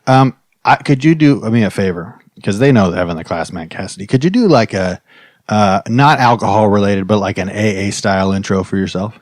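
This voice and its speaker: A man's voice: lowish voice, brisk, no echo, studio quality. An American man hosting a podcast with casual comedy banter, talking in loose, slangy bro speak. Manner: slangy bro talk with repeated catchphrases. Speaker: podcast host.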